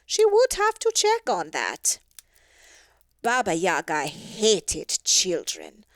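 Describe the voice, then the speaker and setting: A woman reading a story aloud in an expressive, theatrical and wry storytelling manner. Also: fairly low pitch, takes it slowly, no room sound, clean studio sound. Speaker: story reader. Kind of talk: expressive scripted storybook narration.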